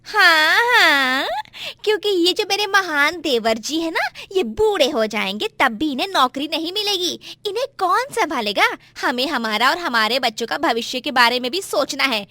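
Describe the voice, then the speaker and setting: Woman acting a shrill, spiteful daughter-in-law. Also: expressive, moderate pace, no echo. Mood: threatening, bitter. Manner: scolding, taunting, forceful. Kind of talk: melodramatic moral-story dialogue.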